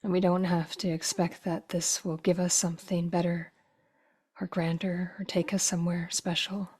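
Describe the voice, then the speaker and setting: A woman guiding a meditation in a soft, breathy voice that often drops toward a whisper, with slow, gentle phrases and long pauses. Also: on the low side, level tone. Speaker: meditation teacher. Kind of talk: guided meditation.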